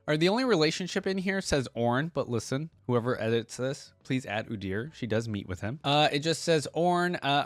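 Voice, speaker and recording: A man's voice: mid-range voice, no room sound. A man hosting a reaction video, reading aloud and adding informal, conversational comments.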